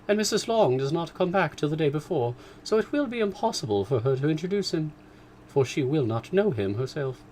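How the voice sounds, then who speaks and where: High fussy mother's voice, emphatic and complaining, swooping about, loud, put on by a man reading a novel. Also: expressive, brisk, a little hiss or hum, close to an everyday voice. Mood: indignant. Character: fretful, excitable middle-aged mother, shrill and complaining.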